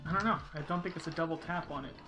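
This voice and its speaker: A man's voice: on the high side, very fast, over music. A man streaming a video game, chatting casually with viewers and thinking aloud in a rambling, unsure way. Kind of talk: casual live-stream chat while playing.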